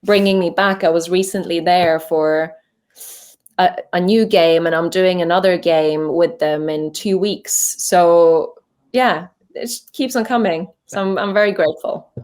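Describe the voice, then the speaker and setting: A woman's voice: lowish voice, steady tone, a little slow, darkish tone. A young woman from Norway with a Norwegian accent, chatting casually as a voice actor guest in an interview, full of fillers and restarts. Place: home voice booth.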